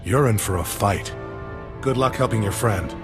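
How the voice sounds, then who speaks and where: An actor playing a plain-spoken, embattled resistance captain in a video game. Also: male voice, fairly low pitch, moderate pace, in a large hall, over music, close to an everyday voice. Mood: weary, earnest. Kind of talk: video game cutscene acting.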